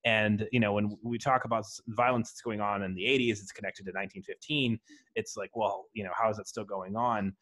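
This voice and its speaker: A man's voice: fairly low pitch, steady tone, a little fast, fairly bright tone, poor audio. A man hosting a podcast interview, talking conversationally, asking open questions and dropping jokey asides. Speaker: podcast host. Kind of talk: casual interview chat.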